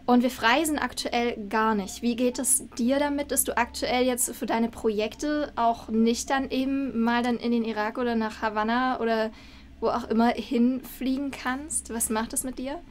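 A woman's voice: fairly high pitch, steady tone, moderate pace, a little hiss or hum. A woman in her thirties chatting casually as an interviewer on a video call. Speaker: interviewer, host. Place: book-lined room, indoors. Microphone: video call microphone, shared with co-host.

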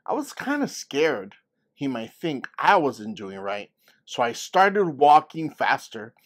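A man's voice: medium pitch, sing-song, takes it slowly. A man reading a storybook as a folksy elderly Southern grandmother telling tales of her childhood. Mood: fond reminiscing. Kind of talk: storybook read-aloud.

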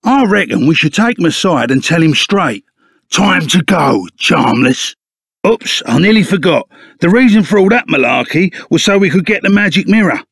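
Gravelly Cockney bandit bruiser. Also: male voice, high voice, a bit bright, no room sound, clean studio sound, heavily acted. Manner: blunt, slangy, blustering. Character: burly, blustering, loyal bandit sidekick with rough manners. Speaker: video game voice actor. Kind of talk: animated video game character acting.

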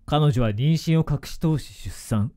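Actor as a grave, mellow middle-aged father. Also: on the high side, a little slow, no echo, studio quality, natural voice. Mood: grave, remorseful. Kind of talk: Japanese radio drama acting.

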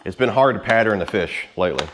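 A man in his thirties giving a casual, unscripted walkthrough to camera in an informal, rambling way, with pauses as he handles gear. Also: lowish voice, expressive, fairly bright tone, a little hiss or hum. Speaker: vlogger giving a boat tour. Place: home garage with the door open.

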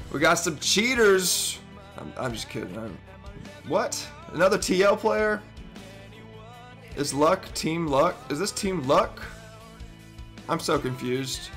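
A hoarse young man in his twenties, with his voice nearly gone, giving excitable, swear-filled reaction commentary from a headset in his bedroom. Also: on the high side. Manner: excitable, swears often, stammers and laughs. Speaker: YouTuber reacting to a gaming montage. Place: bedroom indoors. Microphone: over-ear headset.

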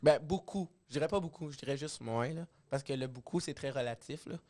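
A man's voice: medium pitch, brisk, a little mellow. Young quebec guy, lightish voice, casual podcast chat with friends. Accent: Quebec French. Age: young adult. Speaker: podcast panelist. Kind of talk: casual group discussion. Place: small podcast studio. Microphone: close studio microphone on boom arm.

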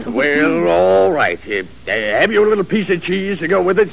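An actor playing a blustery, easily offended boss in a 1930s radio comedy, hesitant and formal. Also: male voice, high voice, sing-song, brisk, gravelly, exaggerated voice. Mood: huffy. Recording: muffled 1930s radio recording.

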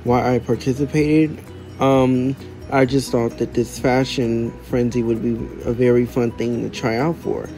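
Teen male, slow and earnest, dark mellow tone, faint music. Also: medium pitch, little pitch movement, rough recording. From United States. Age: teens. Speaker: contestant. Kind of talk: casual self-introduction.

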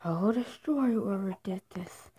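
A woman voicing her own hero in an amateur game roleplay, excitable and breaking into high shouted outbursts. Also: a little slow, noisy background. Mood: distressed. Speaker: roleplay creator and narrator.

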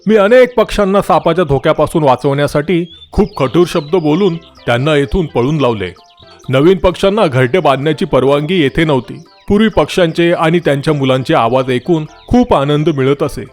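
A man's voice: a little high, moderate pace, darkish tone, faint music behind, natural voice. Man voicing a stern, emphatic talking tree. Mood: sorrowful, kind. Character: talking tree, stern and gruff, secretly kind protector. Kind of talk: children's moral story cartoon voicing.

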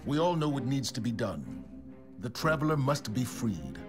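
An actor playing a steadfast male commander in a video game, measured and solemn. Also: mid-range voice, big pitch swings, over music, close to an everyday voice.

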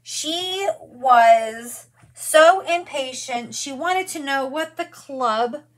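A woman's voice: sits high, extremely slow, poor audio. A woman in her forties hosting a live craft demonstration from her studio, chatty and gushing, greeting viewers by name as she works.

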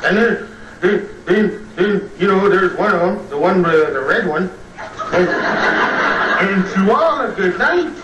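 An actor playing a jaded, boozy old children's storyteller on a TV comedy sketch, halting, slurred and muddled, often trailing off. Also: male voice, high voice, lively intonation, recorded from a distance, lo-fi sound. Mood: boozy, indifferent. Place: TV studio living-room set. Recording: noisy, roomy old TV tape, off mic.

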